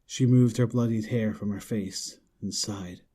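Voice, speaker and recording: A man's voice: lowish voice, steady tone, measured pace, mellow, husky. A man reading scripted narration for a horror audio drama.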